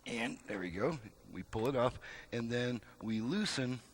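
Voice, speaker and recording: A man's voice: mid-range voice, expressive, measured pace. An American man giving a casual, unscripted demonstration as a repair technician, explaining things step by step in a plain, folksy way.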